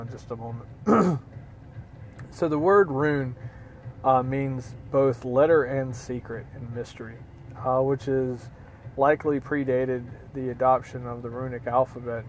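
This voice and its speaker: Hoarse man with frequent throat clearing. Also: medium pitch, a little mellow, a little hiss or hum, rough recording. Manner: halting, many fillers, frequent throat clearing. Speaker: teacher of runes. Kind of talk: casual spoken lesson.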